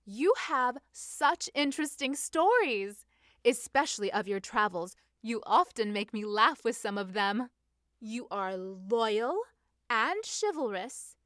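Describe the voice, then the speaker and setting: A woman's voice: fairly high pitch, animated, slow pace, a bit bright, no echo, clean studio sound. A woman voicing a giddy, playful young Regency lady in an audiobook-style story, speaking in a dreamy, unguarded way. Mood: dreamy, affectionate.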